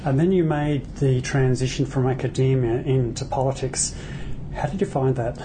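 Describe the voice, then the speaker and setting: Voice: medium pitch, steady tone, moderate pace, noisy background. Probing interviewer with conversational questions.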